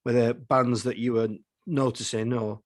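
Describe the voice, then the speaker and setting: A man's voice: medium pitch, fairly even intonation, normal speed, no room sound, clean studio sound. A man hosting a conversational interview, often repeating words as he forms his questions. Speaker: podcast host interviewing a musician.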